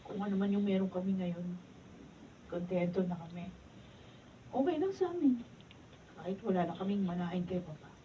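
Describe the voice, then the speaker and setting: An actress playing a remorseful adult daughter in a radio drama. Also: female voice, lowish voice, normal speed, long pauses, slight background noise, natural voice. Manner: resigned, humble. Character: regretful grown daughter. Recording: muffled and distant.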